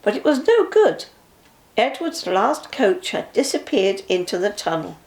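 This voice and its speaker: An older woman, a grandmother, reading a children's story aloud with expressive narration. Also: medium pitch, a little slow, poor audio.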